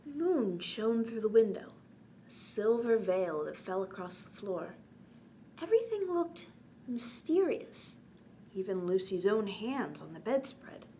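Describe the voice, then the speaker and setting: A woman hosting a children's storytime, reading a bedtime story aloud in an expressive manner and talking gently to young listeners. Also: mid-range voice, unhurried. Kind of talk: read-aloud bedtime story with chatty asides. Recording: clearly noisy background.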